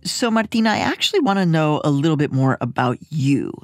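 A woman's voice: low-pitched, big pitch swings, fairly quick. A woman hosting a radio program, reading scripted narration and putting questions to a guest. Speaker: radio host.

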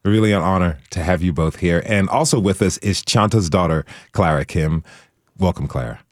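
A man hosting a radio show, reading a measured, scripted introduction and welcoming his guests. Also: low-pitched, dry recording, clean studio sound.

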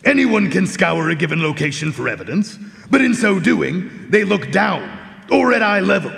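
A high, very creaky-voiced gentleman detective, played by a man in a radio mystery. He lays out his case formally and methodically, with a showman's flourish, in a big reverberant hall. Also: big pitch swings, heavily acted. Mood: theatrical, explaining. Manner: formal, measured, showman-like explaining. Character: theatrical male private eye, clever, courteous, methodical. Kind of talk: live radio mystery drama acting. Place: live performance hall. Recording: reverberant stage in a large hall.

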